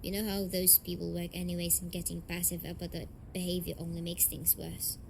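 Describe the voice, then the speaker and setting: A young woman playing a blunt, defensive tsundere delinquent girlfriend in an amateur romantic audio roleplay, speaking haltingly and swearing. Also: fairly low pitch, completely flat delivery, creaky, slight background noise. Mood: candid, bitter. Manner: hesitant, halting, foul-mouthed, defensive.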